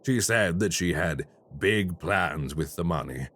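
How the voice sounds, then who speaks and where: A man playing a deep, slow, courteous crime boss. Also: expressive. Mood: smooth menace. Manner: slow, courteous and quietly menacing. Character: calm, polite male crime boss, menacing. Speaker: voice actor. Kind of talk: audio roleplay.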